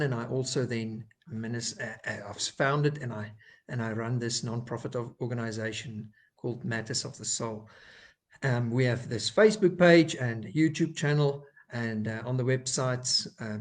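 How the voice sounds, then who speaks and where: A man with an Afrikaans South African accent, a clinical psychologist giving a faith-based talk in his second language, pausing often with fillers and restarts. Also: mid-range voice. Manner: hesitant, many fillers and restarts.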